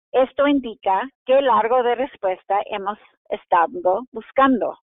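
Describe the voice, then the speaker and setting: A woman's voice: medium pitch, no echo. Woman on a tinny phone-like line. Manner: steady explanatory reading with occasional restarts. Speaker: webinar presenter reading out and answering audience questions. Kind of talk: translated webinar Q&A, read from script. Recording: tinny phone-like audio, dry.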